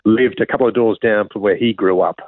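A man's voice: on the low side, fairly even intonation, fairly quick, dry recording. A man in his fifties with an Australian accent, a school principal chatting as an interview guest in a friendly, rambling way with many restarts. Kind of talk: casual interview talk. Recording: tinny phone-like line, dry.

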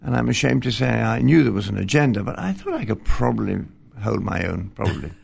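Older clergyman guest, heavy vocal fry, gentle and self-deprecating, studio interview. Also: fairly low pitch, expressive, fast talker, a little hiss or hum. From Scotland. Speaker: retired bishop, guest. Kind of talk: relaxed interview conversation. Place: television studio.

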